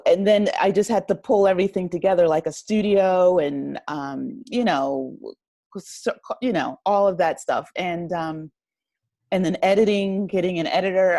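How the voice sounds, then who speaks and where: Lady being interviewed, kinda low voice, no room echo, thoughtful n grateful, halting casual chat. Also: mellow, studio quality. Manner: reflective, appreciative, halting as she gathers thoughts. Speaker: podcast guest, writer and audio drama creator.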